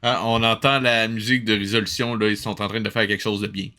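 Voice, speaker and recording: A man's voice: fairly low pitch, little pitch movement, fast talker, background noise. A man hosting a casual, humorous reaction commentary on a cartoon, with a wry, joking manner.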